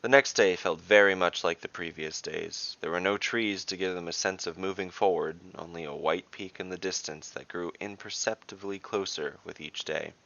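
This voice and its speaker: A man reading scripted fantasy narration as a podcast storyteller, in a measured, dramatic way. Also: deep voice, vocal fry, bright, forceful tone, dry recording, dull audio. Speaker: storyteller and dungeon master, podcast host.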